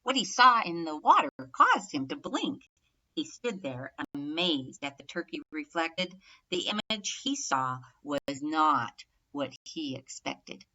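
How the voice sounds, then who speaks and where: A woman reads a rhyming children's story aloud with clear emphasis on each rhyme. Her voice is slightly low and bright, and she takes her time over the lines. The narration is measured and expressive. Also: muffled recording. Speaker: storybook reader.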